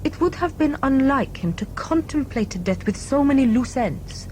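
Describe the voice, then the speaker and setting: Woman playing a high-voiced, formal, determined doctor. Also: lively intonation, darkish tone, slight background noise. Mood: cool, cryptic. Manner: formal, precise, measured. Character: cool, purposeful, determined female doctor. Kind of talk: audio drama acting.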